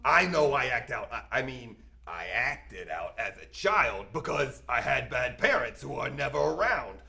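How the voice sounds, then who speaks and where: A man playing a whiny, theatrical Krampus villain in a comedic audio drama, with a creaky voice and a rambling, stammering, self-justifying way of talking. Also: mid-range voice, very animated, unhurried, pushed and bright, exaggerated voice. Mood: smug, aggrieved.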